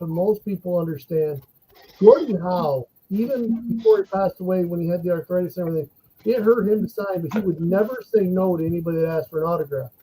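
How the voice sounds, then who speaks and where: A man telling animated anecdotes in a casual podcast chat. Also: sits high, a little hiss or hum, low-quality recording. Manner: animated anecdotes, laughs while telling stories.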